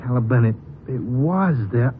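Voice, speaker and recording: Man acting a desperate, unhinged ex-convict, wildly swinging pitch. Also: expressive, normal speed, natural voice. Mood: insistent, agitated. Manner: stammering, pleading, rambling. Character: desperate, unhinged older ex-convict haunted by lost money. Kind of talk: 1950s radio drama acting. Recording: muffled, noisy 1950s radio broadcast.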